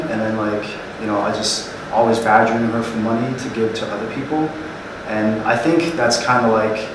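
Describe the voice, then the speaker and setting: A sincere young man from Hong Kong talks with many fillers, in a fairly low voice. He is miked from a distance, so the room echoes strongly. Noise hums underneath. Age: young adult. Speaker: nonprofit co-founder. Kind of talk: interview answers.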